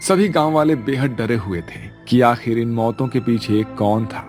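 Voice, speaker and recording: A man delivers scripted story narration in a medium-pitched voice. He works as the storyteller, and light music plays behind him. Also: moderate pace, a little mellow.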